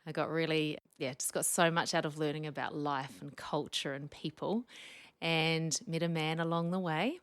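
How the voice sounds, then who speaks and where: A woman in her forties with a New Zealand accent, a chatty, animated storyteller speaking as a podcast guest. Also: deep voice, normal speed, clear, resonant tone, no room sound. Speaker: podcast guest, speech pathologist. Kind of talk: casual interview chat.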